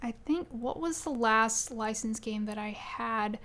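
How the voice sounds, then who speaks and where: A woman with a high voice co-hosts a casual gaming podcast. She talks in an expressive, animated way and often slips into quoting imagined remarks. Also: measured pace. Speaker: podcast co-host. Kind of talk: casual chat about video games.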